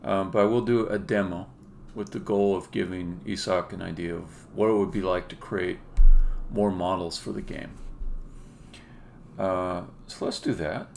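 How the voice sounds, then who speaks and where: A man who develops indie games, talking casually and haltingly as he thinks aloud through a live-stream demo. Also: sits low, steady tone, gravelly, a little mellow, a little hiss or hum.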